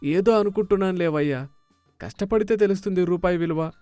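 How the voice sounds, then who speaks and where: A man's voice: high voice, brisk, dark tone, clean tone, dry recording, soft background music, natural voice. A man acting a mild, practical village husband in a dramatised moral story. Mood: brusque.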